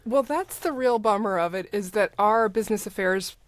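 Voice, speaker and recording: A woman's voice: medium pitch, moderate pace, dry recording. Woman podcast guest talking, dry close sound. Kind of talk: casual conversational storytelling.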